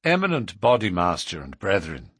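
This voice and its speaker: A man voicing an earnest, urgent young outlaw in a storybook, intense and deliberate, with formal Irish turns of phrase. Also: sits low, wildly swooping pitch, a bit bright, husky, no room sound, studio quality, exaggerated voice. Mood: solemn warning.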